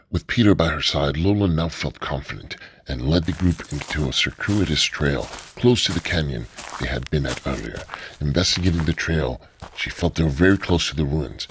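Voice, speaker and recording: A man narrating a radio drama in a steady, low storytelling delivery. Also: slight background noise.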